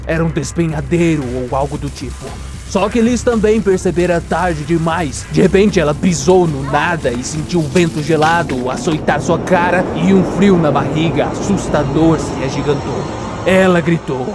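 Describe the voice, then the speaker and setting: Higher-voiced Brazilian man narrating dramatically. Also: normal speed. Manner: suspenseful, short clipped phrases. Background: music.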